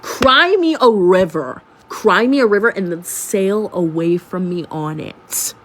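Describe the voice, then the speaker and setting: A young woman sounds stuffed-up from a cold. She tells her story in an animated, sarcastic way and leans into the drama. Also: mid-range voice, measured pace, slight background noise. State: sick, congested. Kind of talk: storytime vlog.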